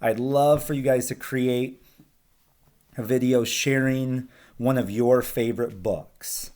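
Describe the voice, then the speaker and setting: A male teacher with an American accent speaks to his students in a casual, informal way. His voice sits at a medium pitch. He sounds encouraging as he explains things to the class. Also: fairly even intonation, slow pace, a little mellow. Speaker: school teacher. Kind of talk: casual talk to pupils, read-aloud.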